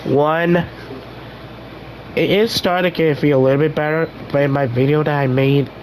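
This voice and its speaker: A young man hosting a casual gaming vlog, rambling with many restarts while his voice recovers from being hoarse. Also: fairly high pitch, fairly even intonation, moderate pace, a little mellow, a little hiss or hum, low-quality recording. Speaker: gaming channel host.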